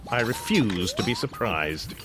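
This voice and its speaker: A man's voice: on the low side, very animated, unhurried, noisy background. An actor playing a bewildered, exasperated ordinary Englishman lost in space in a radio drama, plaintive and incredulous.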